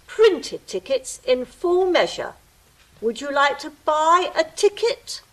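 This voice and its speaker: Woman playing a high-pitched, swooping, officious gatekeeper creature. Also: sing-song, takes it slowly, exaggerated voice. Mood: haughty, officious. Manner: pompous, pedantic, theatrical. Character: fussy, officious ticket-eating gatekeeper creature. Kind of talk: stage-style audio drama acting.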